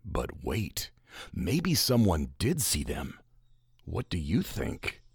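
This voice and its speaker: A man's voice: low-pitched, wildly swooping pitch, takes it slowly, gravelly, breathy edge, no echo, studio quality. A man narrating a children's story as a storyteller addressing young listeners.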